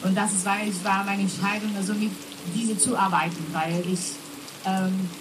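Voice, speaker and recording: A woman's voice: mid-range voice, fairly even intonation, moderate pace, background noise. A woman on a live festival panel, speaking hesitantly and searching for words, with many fillers. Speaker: festival booking team member. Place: festival tent in the rain.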